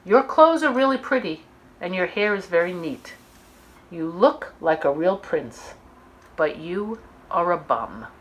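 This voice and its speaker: Woman playing a bold, animated young storybook princess. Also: lowish voice, a little slow, low-quality recording. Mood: cool, defiant. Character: bold, clever young princess, flattering and defiant. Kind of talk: children's storybook read-aloud.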